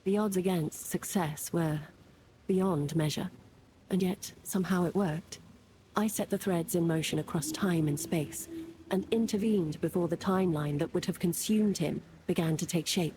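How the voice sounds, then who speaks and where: A woman playing a grave, resolute survivor haunted by her past, speaking in a measured, deliberate way in a fantasy game. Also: fairly low pitch, mellow, slight background noise, close to an everyday voice, rough recording. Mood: solemn, reflective. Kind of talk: scripted fantasy game narration. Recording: music under the voice. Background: game music.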